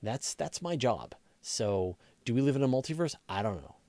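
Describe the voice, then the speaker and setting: A man's voice: medium pitch, lively intonation, moderate pace, mellow, dry recording. A man hosting a casual live science Q&A, reading out questions and answering off the cuff, thinking aloud and rephrasing as he explains in a conversational way. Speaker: host of a live astronomy Q&A, science journalist.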